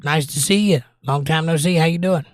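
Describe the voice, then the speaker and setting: A slightly hoarse middle-aged man hosting a livestream, chatting casually and teasing his viewers as he reads their comments. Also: on the high side, brisk, no echo, clean studio sound. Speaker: livestream host. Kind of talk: casual chat reading viewer comments aloud.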